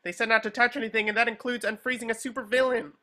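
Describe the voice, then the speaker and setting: A man voicing a naive, excitable young sea-sponge hero fanboy in a cartoon table reading. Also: extremely high pitch, racing. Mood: worried. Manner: excitable, earnest.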